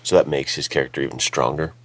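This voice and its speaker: A man chatting casually as a podcast co-host, with a gravelly voice. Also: a bass voice, steady tone, quick pace. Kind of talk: casual chat. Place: outdoors while on vacation. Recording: music behind, rough sound quality.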